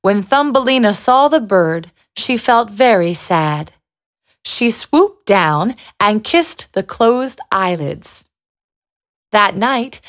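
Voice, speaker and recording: A woman storyteller reading a scripted fairy tale for children. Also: a little high.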